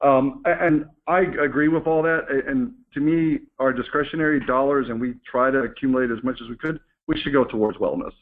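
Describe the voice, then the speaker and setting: A man's voice comes through a thin, band-limited phone conference line, at a middling pitch. He presents like an executive addressing staff, in an informal business style. His delivery is candid and conversational. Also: fairly even intonation, fairly quick, hardly any pauses, narrow, tinny audio. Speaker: company executive hosting a staff call. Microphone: conference call phone line.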